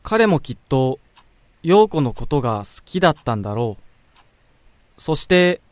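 A man playing a pensive young man who narrates his thoughts in a radio drama, measured and introspective. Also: a little high, very animated, no room sound. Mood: wistful musing. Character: pensive young man quietly reflecting on a childhood friend.

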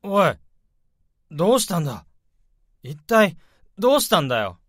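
A man's voice: high-pitched, big pitch swings, normal speed, a bit bright, dry recording, heavily acted. A man playing a forgiving coworker who speaks in an earnest, heartfelt way. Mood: alarmed. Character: forgiving, admiring male coworker. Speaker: lead's coworker. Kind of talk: radio drama acting.

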